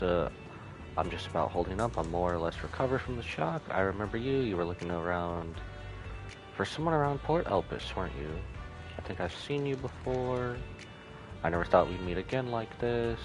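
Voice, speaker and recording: A man streaming a game, reading dialogue aloud in a casual, halting, low-key way. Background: music.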